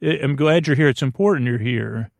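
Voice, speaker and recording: Gravelly guy doing a sleepy bedtime podcast, rambles and second guesses himself, really dry close mic. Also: mid-range voice, a little fast, no room sound. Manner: meandering, hesitant, often trailing off and self-correcting. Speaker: podcast host.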